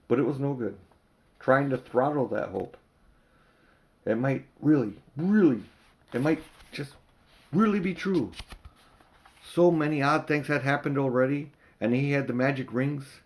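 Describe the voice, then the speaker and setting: An older man narrating a children's story as an amateur audiobook reader, with dramatic emphasis and drawn-out words. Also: medium pitch, a little mellow.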